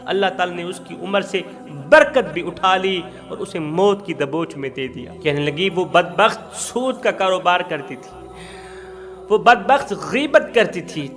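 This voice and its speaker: A man's voice: high-pitched, lively intonation, fairly bright tone. A man preaching a fervent sermon, telling a moral story with repeated emphatic phrases and rising cries. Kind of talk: impassioned Urdu sermon with storytelling.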